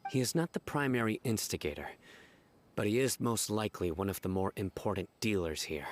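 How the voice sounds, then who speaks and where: An actor playing a stern, calm male officer in a video game, speaking in a measured, quietly threatening way. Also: fairly low pitch, a little hiss or hum, natural voice.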